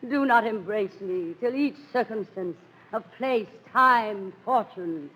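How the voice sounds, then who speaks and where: An earnest young heroine in boy's disguise in an old radio play. The husky voice declaims fervently through a muffled, reverberant recording. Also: medium pitch, slow pace. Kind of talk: 1930s radio Shakespeare acting.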